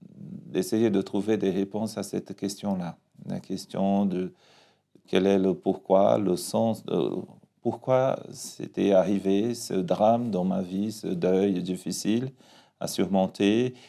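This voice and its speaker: Brazilian priest man, portuguese accent, low dark gravelly voice, calm and thoughtful, lots of restarts. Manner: calm, reflective, with frequent restarts. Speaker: parish priest. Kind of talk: interview, personal testimony.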